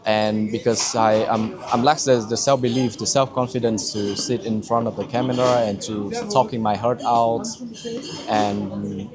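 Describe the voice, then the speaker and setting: A man's voice: on the low side, fairly even intonation, unhurried, a crowd in the background. A man, giving a candid testimonial with frequent restarts as he searches for words. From Vietnam. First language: Vietnamese. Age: 20s.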